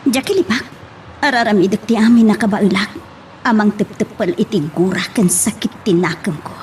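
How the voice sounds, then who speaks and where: A woman's voice: medium pitch, moderate pace, darkish tone, lightly acted. A woman plays a heartbroken, headstrong young woman in an audio love drama. Her voice sits at a middling height, over slight background noise. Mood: hurt, bitter. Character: hurt, stubborn young woman wronged in love. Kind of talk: Ilocano radio-style drama acting.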